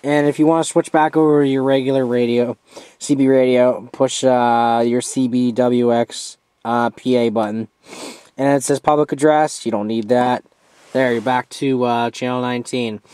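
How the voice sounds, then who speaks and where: A man giving a casual, hands-on product review as an amateur, informal and halting as he steps through features. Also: medium pitch, fairly even intonation, darkish tone, clear, resonant tone, dry recording.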